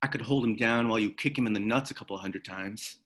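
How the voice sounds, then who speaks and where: A man's voice: on the low side, fast, fairly bright tone, natural voice. A man playing a big, brave sixteen-year-old best friend in a science fiction script read, cocky, teasing and supportive. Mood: confident, loyal.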